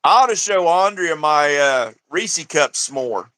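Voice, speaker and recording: A man's voice: fairly high pitch, expressive, a little slow, fairly bright tone. A man co-hosting a livestream, chatting bluntly with crude jokes and frequent laughter. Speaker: livestream co-host. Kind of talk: casual joking commentary.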